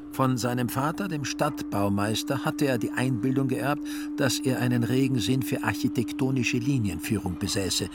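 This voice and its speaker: A man delivering scripted literary narration as a narrator in a radio drama. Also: medium pitch. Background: faint music.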